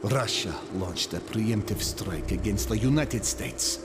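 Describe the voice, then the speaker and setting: An actor playing a grave, embittered scientist, narrating a game cutscene in a solemn, deliberate way. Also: male voice, on the low side, heavy background noise, lightly acted. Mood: grave, bitter. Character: grave, embittered male scientist recounting catastrophe.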